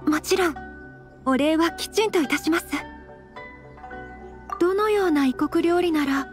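A woman playing a refined, gentle young noblewoman in an anime game, speaking formally, courteously and earnestly. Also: extremely high pitch, a little slow, soft background music. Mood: polite, gentle. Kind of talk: anime game character acting.

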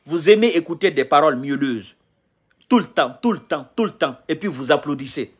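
A man's voice: a little high, animated, a little fast, slightly rough. Fired-up man lecturing into his phone. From Guinea. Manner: heated, lecturing, repeats phrases for emphasis. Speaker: political commentator. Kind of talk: selfie live video rant. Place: indoors, small room, on a sofa. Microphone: phone at arm's length.

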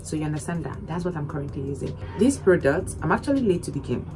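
A young woman with a slightly hoarse voice, chatting animatedly to camera about skincare and repeating points for emphasis. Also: low-pitched, animated, fast talker, over music. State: hoarse, recovering lost voice. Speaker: beauty vlogger. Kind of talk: casual skincare vlog to camera. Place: indoors against plain orange backdrop.

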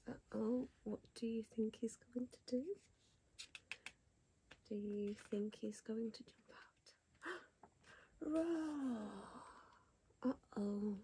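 A woman reading a bedtime picture book to a child in a soft whisper, gasping and asking the child questions. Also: fairly high pitch, slight background noise, poor audio. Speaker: bedtime story reader.

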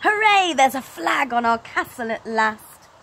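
Woman as a hearty storybook dad, bright and exclamatory. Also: high voice, sing-song, measured pace, gravelly, slight background noise, voice pushed up, full character voice. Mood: delighted. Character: hearty, delighted dad playing on the beach.

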